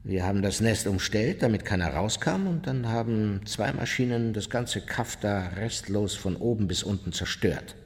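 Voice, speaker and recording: Radio play role: unrepentant war criminal, lowish pitch, blunt, self-justifying, set in long reverb. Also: male voice, expressive, moderate pace, few pauses. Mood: hard, justifying. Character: unrepentant male Nazi war criminal recounting his deeds, coldly self-justifying. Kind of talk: German radio play, interview testimony.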